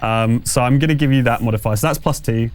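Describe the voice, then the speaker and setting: A man running a tabletop game as game master, narrating and refereeing conversationally, pausing as he thinks aloud. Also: medium pitch, darkish tone.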